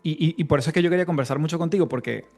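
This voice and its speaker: A man's voice: on the high side, racing, darkish tone, soft background music. A man hosting a podcast interview, asking questions casually with some hesitations and restarts. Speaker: podcast host.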